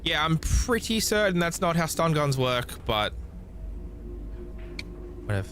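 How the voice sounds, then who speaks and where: A man streaming a game, reading its dialogue aloud in character and switching between voices, with animated delivery. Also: fairly high pitch, measured pace, faint music behind. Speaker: streamer playing horror visual novels.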